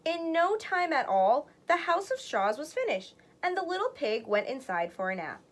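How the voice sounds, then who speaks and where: A woman storyteller narrating a children's read-aloud in an expressive, deliberate way. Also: high voice.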